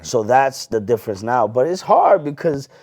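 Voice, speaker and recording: A man in a casual interview telling his story as a club hype man, animated and slangy, often repeating phrases. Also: medium pitch, moderate pace. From US, Lawrence Massachusetts. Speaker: guest, hype man and promoter.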